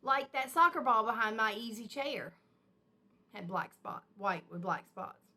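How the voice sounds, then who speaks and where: Woman playing a puzzled, bright-voiced father bear. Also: on the high side, lively intonation, unhurried, exaggerated voice. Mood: confused, suspicious. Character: father bear, puzzled, questioning grown male.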